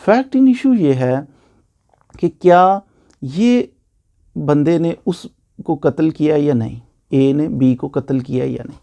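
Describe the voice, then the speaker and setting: A man's voice: on the high side, expressive, slow pace, gravelly, pauses often, warm tone. A man with a Pakistani accent giving an informal law lecture, explaining by repeating points and posing questions that he answers himself.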